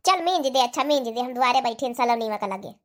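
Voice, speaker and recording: A woman's voice: on the high side, lively intonation, fast talker, close to an everyday voice. A woman voicing a doting older village mother-in-law with an Awadhi accent in a comedy cartoon.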